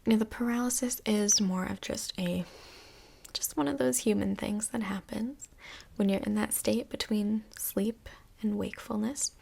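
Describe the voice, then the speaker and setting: A woman playing a calm, reassuring couples' counsellor in a soft-spoken, breathy, mostly whispered ASMR role play, gentle and measured. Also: medium pitch, exaggerated voice. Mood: patient, reassuring.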